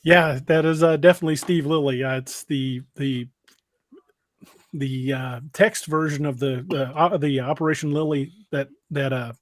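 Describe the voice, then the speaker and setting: A man's voice: a little high, steady tone, moderate pace, no room sound. A man hosting a podcast and chatting casually in a rambling, plain-spoken way. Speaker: podcast host and author. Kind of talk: casual livestream chat.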